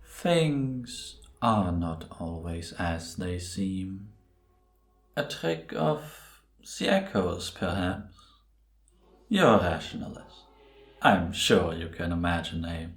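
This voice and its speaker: A man playing a mysterious, serene priest with a measured, solemn, cryptic delivery in a cinematic audio drama. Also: deep voice, very animated, heavily acted. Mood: calm, cryptic. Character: mysterious, serene male priest of a wandering sanctuary. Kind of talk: cinematic audio roleplay acting. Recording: echoing sanctuary ambience with noise.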